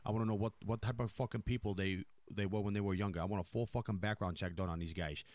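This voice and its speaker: A man's voice: on the low side, steady tone, fast talker, darkish tone, no room sound, lightly acted. A man playing a demanding, foul-mouthed mafia boss in improvised crime role-play, speaking emphatically and repeating himself as he probes. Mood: stern, commanding. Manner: emphatic, repetitive, probing questioning.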